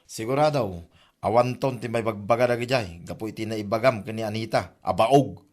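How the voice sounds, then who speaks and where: A man's voice: lowish voice, a little fast, lightly acted. A man acting a gossiping villager in a comedy audio drama. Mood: questioning.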